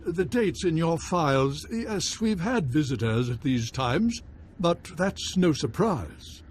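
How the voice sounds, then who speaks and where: A video game baron, lord of a great house. His delivery is courtly and gracious, with animated rises and falls at a middle pitch. Faint noise lies behind the voice. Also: slow. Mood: gracious, formal. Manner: formal, courtly phrasing.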